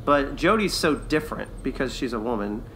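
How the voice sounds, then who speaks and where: A man's voice: mid-range voice, lively intonation, fairly quick, pushed and bright, a little hiss or hum, low-quality recording. A man co-hosting a podcast, talking in an enthusiastic, chatty way in a casual review discussion. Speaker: podcast co-host.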